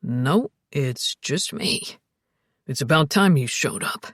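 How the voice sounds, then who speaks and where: Female narrator as male ghost, lowered pitch, husky, sardonic, impatient, brusque, dismissive, audiobook dialogue. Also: expressive, slow, fairly bright tone, dry recording, studio quality, exaggerated voice. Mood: sardonic impatience.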